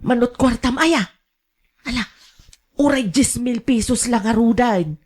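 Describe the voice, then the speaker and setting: A woman's voice: on the high side, measured pace, darkish tone. A woman with a Filipino accent playing a brash, scolding older mother who gambles, in Ilocano radio drama style. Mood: coaxing.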